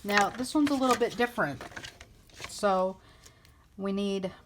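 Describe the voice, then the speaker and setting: Lady doing a craft how-to, real slow, thinking out loud, casual, noisy background. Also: mid-range voice. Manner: informal, thinking aloud. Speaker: craft tutorial host. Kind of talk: casual hands-on craft tutorial. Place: indoors at a craft table. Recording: clearly noisy home recording with clicks.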